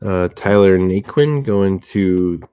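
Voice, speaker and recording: A slightly hoarse man hosting a card box break, calmly listing each card and who it goes to. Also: sits low, highs cut off, a little hiss or hum. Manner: steady, unhurried listing of cards and recipients. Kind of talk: relaxed live box-break commentary.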